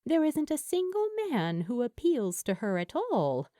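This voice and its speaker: A woman reading scripted fiction as an audiobook narrator, with an expressive, measured storytelling manner and deliberate pauses. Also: a little high, no room sound, clean studio sound.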